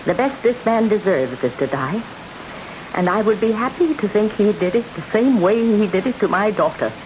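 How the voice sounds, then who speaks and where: An actress playing an embittered, imperious old woman in a radio drama, speaking coldly and deliberately. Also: medium pitch, animated, lightly acted. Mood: cold, bitter. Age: older. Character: embittered, vengeful old matriarch refusing consent. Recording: hissy old radio broadcast recording.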